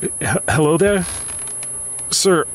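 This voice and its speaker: An actor playing a grief-stricken, bookish widower who mutters anxiously to himself in a theatrical old-time radio horror monologue. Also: male voice, on the high side, slow pace. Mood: frightened, calling out. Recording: music under the voice. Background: prominent music.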